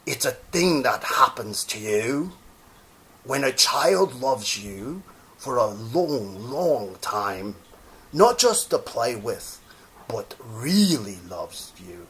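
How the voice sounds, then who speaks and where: A man voicing a wise, gentle old toy horse from a storybook, patiently explaining. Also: mid-range voice, big pitch swings, slow, slight background noise.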